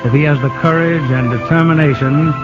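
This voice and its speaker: A man's voice: on the high side, steady tone, a little fast. A man delivering solemn scripted narration as a 1950s radio announcer. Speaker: announcer giving epilogue. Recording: muffled old radio recording. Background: music.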